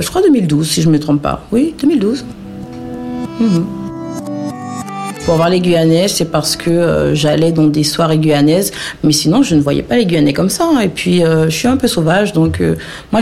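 A woman in her thirties from French Guiana talks through her memories in a halting, reflective way, pausing often to search for words. Her voice has a gravelly edge. Faint music plays quietly under her. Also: fairly low pitch. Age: 30s. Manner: hesitant, many pauses and fillers. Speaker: interviewee. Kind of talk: reflective personal testimony.

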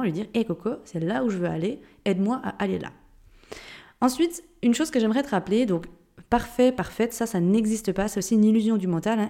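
A woman's voice: mid-range voice, warm tone. A woman from Switzerland with a Jura French accent, hosting a solo mindset podcast and talking straight to the listener in a chatty, informal way. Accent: Swiss French, Jura. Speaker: podcast host and mindset coach.

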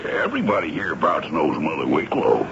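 A man's voice: very deep voice, wildly swooping pitch, a little fast, vocal fry, heavily acted. An actor playing a hesitant, deferential local man in 1970s radio drama, stammering as he speaks. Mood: sheepish. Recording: dull, hissy old radio recording.

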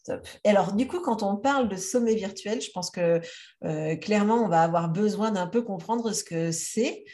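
A woman's voice: mid-range voice, normal speed, dark tone. A woman hosting a podcast, chatting casually and asking questions with many fillers. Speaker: podcast host. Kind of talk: casual interview talk.

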